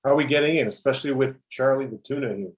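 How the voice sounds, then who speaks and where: A man's voice: mid-range voice, quick pace, natural voice. A man playing a cocky, argumentative superhero soldier with a needling, self-righteous manner in an amateur table read. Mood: blunt, questioning.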